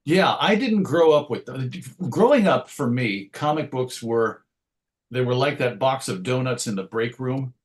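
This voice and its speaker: A man's voice: medium pitch, animated, measured pace. A man speaking as an interview guest, conversational and thoughtful, illustrating his points with anecdotes and quoted asides. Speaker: interview guest, radio show host.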